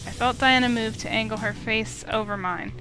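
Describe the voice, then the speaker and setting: A woman reading a visual novel aloud as an expressive, dramatic narrator. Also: on the high side, moderate pace, low-quality recording. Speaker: let's play streamer. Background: music in the background.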